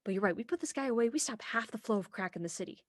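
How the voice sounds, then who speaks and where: A woman's voice: a little high, expressive, no room sound. Woman playing a headstrong young police officer, dry sound. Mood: indignant, earnest. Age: young adult. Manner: brisk, official, argumentative. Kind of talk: contemporary comic radio play acting.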